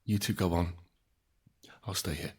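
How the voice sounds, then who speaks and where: Low, husky, guilt-ridden survivor. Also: male voice, fairly even intonation, darkish tone, no room sound. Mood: resigned. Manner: brooding, halting, confessional. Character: anguished, guilt-ridden male survivor narrating a post-apocalyptic story. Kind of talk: dramatic first-person audio drama narration.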